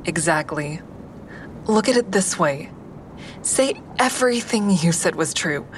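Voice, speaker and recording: A low female voice plays a crisis line counselor in an audio drama. She is measured and candid, consoling without sugarcoating. A clear rumbling noise sits behind her. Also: animated, low-quality recording. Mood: firm, encouraging. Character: woman crisis hotline counselor, calm, candid, dog owner. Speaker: crisis line counselor and inner-monologue narrator. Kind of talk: audio drama acting with first-person asides.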